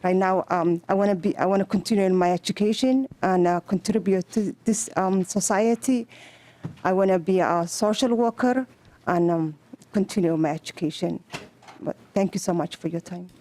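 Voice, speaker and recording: A woman from Somalia with a Somali accent, testifying at a legislative hearing in halting speech with many fillers and restarts. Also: lowish voice, warm tone. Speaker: testifying adult student. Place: legislative committee hearing room. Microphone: table microphone.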